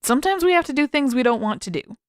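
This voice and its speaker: A woman's voice: high voice, expressive, fast, dry recording, clean studio sound, pitched above the performer's own voice. A woman voicing a sardonic, irritable teenage boy in a fantasy story, emphatic and impatient. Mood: irritated.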